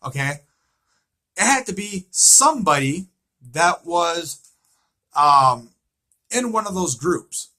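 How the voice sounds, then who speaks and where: A man's voice: fairly high pitch, very animated, takes it slowly, pauses often, bright, forceful tone. A man giving an informal recap and theory commentary on a show, emphatic and repetitive, often posing rhetorical questions. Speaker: fan commentator on a TV series.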